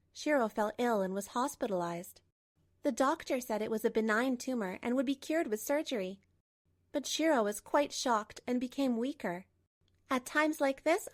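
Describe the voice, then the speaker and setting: A woman reading a scripted first-person drama narration for a manga story dub. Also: sits high. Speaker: narrator and lead voice actor.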